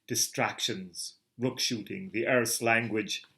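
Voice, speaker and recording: A man's voice: on the low side, steady tone, slow pace, bright, forceful tone, exaggerated voice. A man voicing a guilt-wracked murderer in a melodramatic literary reading, with wild theatrical outbursts. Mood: tormented.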